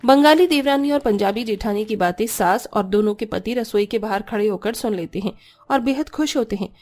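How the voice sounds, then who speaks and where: A woman's voice: medium pitch, darkish tone. A woman storyteller delivering scripted narration for a cartoon story.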